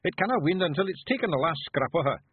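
A man's voice: on the high side, expressive, quick pace, slightly rough, phone-quality sound, pitched above the performer's own voice. A man voicing a tiny, proud, indignant Scottish clan chief for a storybook, with broad exclamatory dialect. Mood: urgent.

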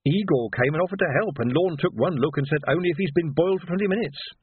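A man's voice: on the high side, fast talker, husky, no room sound, pitched above the performer's own voice. A man voicing a flustered, indignant household helper who chatters and grumbles in a storybook. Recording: narrow phone-like band.

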